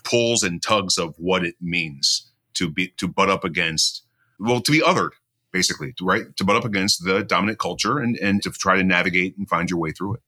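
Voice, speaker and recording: A man's voice: low voice, a little fast, sharp tone. A man raised in the American Midwest, speaking earnestly on a podcast with frequent restarts. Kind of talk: casual podcast chat.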